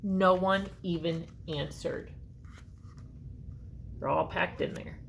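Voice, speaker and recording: A woman reading a children's storybook aloud with expressive emphasis and short asides to her listeners. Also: fairly low pitch, slow, creaky, a little hiss or hum. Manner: expressive, dramatic emphasis on key words.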